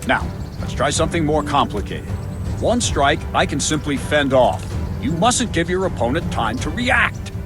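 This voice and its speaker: A man playing a stern, gruff medieval captain who drills a recruit with clipped commands in a video game. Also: a little high, very animated, moderate pace. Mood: firm, instructive. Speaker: combat trainer, captain of the guard. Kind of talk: video game cutscene acting. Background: background music.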